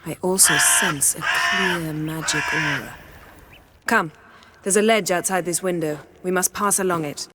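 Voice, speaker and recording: An actress playing a haughty, commanding sorceress in a video game, speaking in a formal, controlled and clipped manner. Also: female voice, on the low side, unhurried, bright, forceful tone, a little hiss or hum. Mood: wary. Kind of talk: video game character acting. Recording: music underneath.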